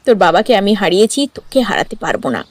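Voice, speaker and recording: A woman's voice: mid-range voice, very animated, fast, dry recording, voice pushed down. A woman voicing a protective, ageing village mother who admonishes her child emotionally in a cartoon story. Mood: worried, resigned. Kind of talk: dramatized cartoon story acting. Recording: music under the voice.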